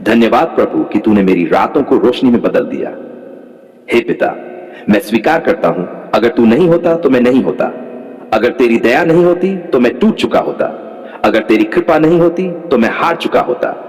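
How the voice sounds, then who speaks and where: A man's voice: medium pitch, lively intonation, moderate pace, darkish tone. A man leads a devotional prayer in a mid-range voice, pressing each line in fervent, rhythmic repetitions. His words ring out with a long, trailing reverb, as if spoken in a large hall. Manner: fervent, rhythmic repeated declarations. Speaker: prayer leader.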